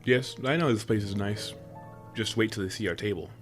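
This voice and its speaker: A man's voice: on the low side, brisk, over music, lightly acted. A man playing an affectionate, playful boyfriend in a roleplay audio drama, talking in a teasing, coaxing way. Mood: excited, secretive. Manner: teasing, chuckles often, coaxing. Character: affectionate playful boyfriend spoiling his sweetheart with surprises.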